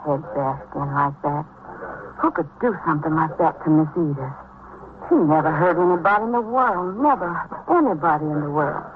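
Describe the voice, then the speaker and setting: An actress playing a grieving, devoted housemaid in a vintage radio drama, plaintive and repetitive, with folksy, deferential speech. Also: female voice, sits low, normal speed, phone-quality sound, crowd noise, lightly acted. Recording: thin, band-limited old radio, noisy.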